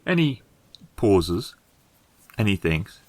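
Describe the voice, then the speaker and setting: A man narrating a tabletop game as game master, improvising in halting phrases with pauses. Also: on the low side, sing-song, a little mellow, no room sound.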